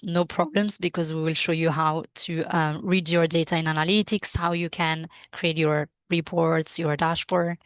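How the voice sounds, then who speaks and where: A woman's voice: on the low side, no echo. Italian-native woman presenting a webinar. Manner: hesitant, many fillers and restarts. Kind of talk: live product webinar.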